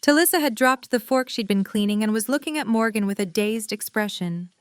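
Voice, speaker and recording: A woman's voice: fairly high pitch, fairly quick, dry recording. A woman narrating a story, reading scripted third-person prose as the narrator of an audio drama.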